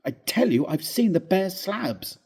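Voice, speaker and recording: A man's voice: high-pitched, sing-song, a little slow, gravelly, darkish tone, slightly rough, voice pushed up, exaggerated voice. A man reading a ghost story, voicing an overwrought husband in an agitated, earnest, theatrical way. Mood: frantic insistence.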